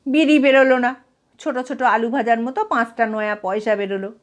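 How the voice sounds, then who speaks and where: A woman storyteller reading a comic short story aloud in an expressive narrating style. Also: fairly high pitch, brisk.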